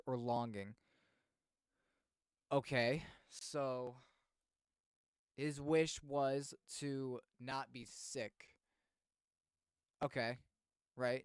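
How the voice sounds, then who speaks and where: A man with a smooth, clear, average-pitched voice gives casual livestream commentary. He is expressive and thinks aloud. The sound is dry and close. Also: no echo. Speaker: streamer reacting to videos.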